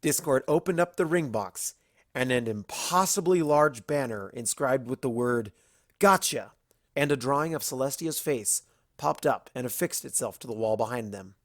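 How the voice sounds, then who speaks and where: A narrator reading a fan-fiction story aloud in an expressive storybook style. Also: medium pitch, normal speed, dry recording.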